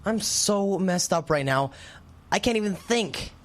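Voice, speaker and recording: A woman's voice: medium pitch, animated, measured pace, a little hiss or hum, close to an everyday voice. A woman playing a worried, hesitant and earnest teenage schoolkid in a radio drama. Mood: confused, anxious. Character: anxious, hesitant teenage schoolkid, sleuth's twin sibling.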